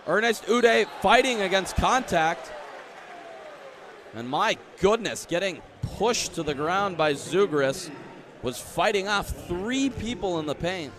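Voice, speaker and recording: High-voiced man calling live basketball. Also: lively intonation, slow pace, noisy background. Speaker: play-by-play announcer. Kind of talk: live basketball radio play-by-play. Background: basketball arena ambience, bouncing balls.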